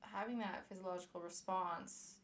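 A soft-spoken woman co-hosting a casual podcast, talking hesitantly with frequent pauses and stumbles. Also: fairly low pitch, fairly even intonation, slight background noise. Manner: hesitant, many "um"s, stumbles over words. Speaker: podcast co-host.

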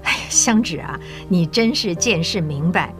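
An actress playing a mature, patriotic school principal in an audio drama, encouraging and persuasive with measured authority. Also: female voice, fairly low pitch, lively intonation, music in the background, natural voice. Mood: earnest indignation.

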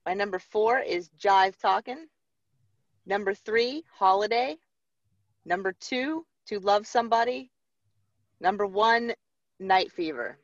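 A woman's voice: mid-range voice, slow pace, pauses often, dry recording, highs cut off. A woman co-hosting a music podcast who reads out her picks plainly and chats casually. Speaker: podcast co-host. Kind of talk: casual chat.

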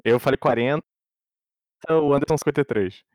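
A man's voice: mid-range voice, a little fast, a little mellow. A man in casual chat, reacting to a story with short interjections.

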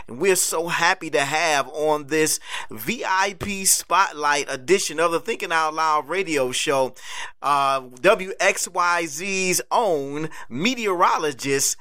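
A man's voice: a little high, pushed and bright, no echo. A man hosting an internet radio show, welcoming and enthusiastic.

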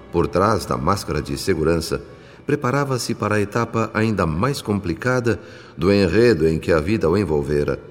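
A Brazilian man narrating a scripted radio drama in a formal, measured manner. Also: sits low. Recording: long reverb, music behind.

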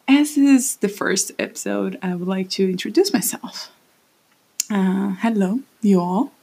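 A woman's voice: mid-range voice, slow pace, creaky, long pauses, darkish tone, slightly rough. A woman in her late twenties from Brazil with a Brazilian Portuguese accent, hosting her own podcast in a rambling, self-conscious way. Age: late 20s. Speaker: podcast host. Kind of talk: casual solo podcast monologue. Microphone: standing at a home mic.

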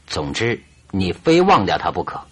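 A man playing a shrewd, composed detective in a radio drama, speaking in a measured, cryptic and firm way. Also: low voice, huge pitch swings, slightly rough, exaggerated voice.

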